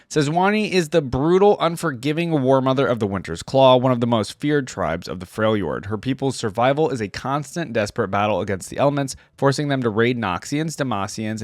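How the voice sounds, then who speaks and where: A man's voice: medium pitch, no room sound, studio quality. A man hosting a reaction channel, moving between casual commentary and reading aloud.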